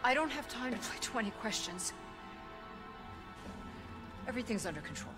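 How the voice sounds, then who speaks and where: A woman's voice: fairly high pitch, very animated, normal speed, over music. An actress playing a cold, driven middle-aged female scientist in a video game, clipped and dismissive. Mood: curt, dismissive. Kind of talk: dramatic video game acting.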